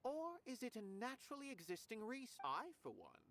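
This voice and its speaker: A man's voice: very high voice, wildly swooping pitch, normal speed, fairly bright tone, slight background noise, heavily acted. A man voicing an aloof, philosophical scholar in a video game, speaking in a formal, pedantic manner. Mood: aloof questioning.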